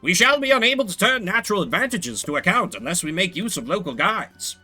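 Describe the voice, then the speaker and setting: A man's voice: sits high, animated, a little fast, sharp tone, soft background music, pitched above the performer's own voice, exaggerated voice. A man voicing a grand, commanding narrator who formally declaims an ancient war treatise. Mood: grand, forceful. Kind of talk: declaimed dramatic reading.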